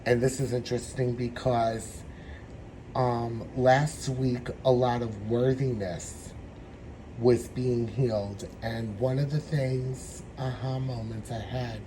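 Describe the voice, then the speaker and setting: A man with a hoarse, troubled throat giving a meandering, reflective spiritual tarot reading. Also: mid-range voice, steady tone, noisy background. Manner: meandering, reflective, slow-spoken. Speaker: tarot reader.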